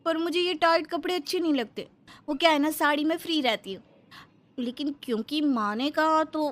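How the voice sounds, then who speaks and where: A woman voicing a naive, blunt and chatty young village-born daughter-in-law in a comic family story, animated and guileless. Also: sits high, normal speed, pitched above the performer's own voice, close to an everyday voice. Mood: innocent, frank.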